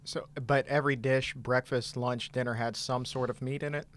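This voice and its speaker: A man's voice: mid-range voice, fairly even intonation, normal speed, fairly bright tone, slight background noise. Guy hosting a podcast, upbeat and encouraging, regular mid-range voice. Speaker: podcast host. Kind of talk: interview hosting. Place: studio table.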